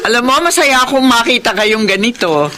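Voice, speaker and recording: A woman's voice: a little high, a little fast, slight background noise. A woman acting in a Filipino radio drama as an affectionate, proud mother of grown children. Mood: happy, relieved. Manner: fond, expressive.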